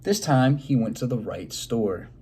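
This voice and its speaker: A man reading a children's story aloud expressively, pausing to put questions to his listeners. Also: mid-range voice, expressive, warm tone, slight background noise.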